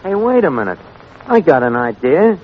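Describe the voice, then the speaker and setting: Casting: put-upon, wisecracking New York tavern manager, animated delivery, muffled 1940s radio comedy sound. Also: male voice, fairly high pitch, moderate pace, slightly rough. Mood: indignant. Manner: wisecracking, mangles words and grammar. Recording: muffled, noisy old radio broadcast.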